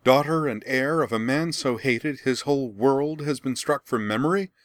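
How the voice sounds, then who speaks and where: A man's voice: medium pitch, slow, no room sound, clean studio sound, voice pushed up, lightly acted. A man reading a novel aloud, voicing a proud young princess who has lost everything and rants in anguish. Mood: anguished ranting. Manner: ranting, raw and anguished.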